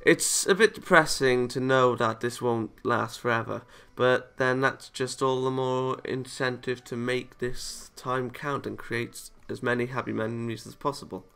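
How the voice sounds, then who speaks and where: A man's voice: medium pitch, steady tone, unhurried, creaky, hardly any pauses, a bit bright, clear, resonant tone. A man playing a visual novel, reading the story aloud and adding casual reactions of his own. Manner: chuckles and comments between read lines. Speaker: video game let's player.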